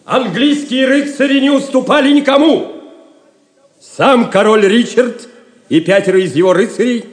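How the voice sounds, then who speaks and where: An actor playing a mysterious, bold pilgrim in a Russian audio drama, declaiming with resolve. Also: male voice, very high-pitched, normal speed, echoey room. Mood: defiant. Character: mysterious hooded pilgrim, bold and defiant knight in disguise.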